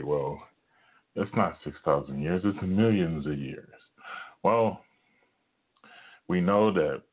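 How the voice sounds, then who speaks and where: A man with a very deep, rumbling voice giving an informal sermon at home, talking quietly with many pauses and fillers. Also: narrow, tinny audio. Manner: hushed, halting talk with many fillers. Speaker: preacher. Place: home at night, son in next room.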